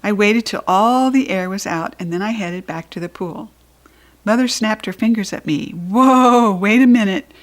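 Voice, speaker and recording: A woman's voice: mid-range voice, moderate pace. A woman with an American accent narrating a children's book expressively as a storybook reader.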